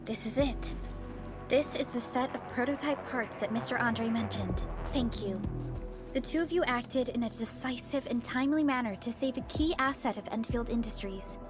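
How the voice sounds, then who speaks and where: Woman as composed game leader, fairly high, measured, formal, music behind. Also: close to an everyday voice. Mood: calm. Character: composed, formal young woman leading a crisis team. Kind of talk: video game voice acting.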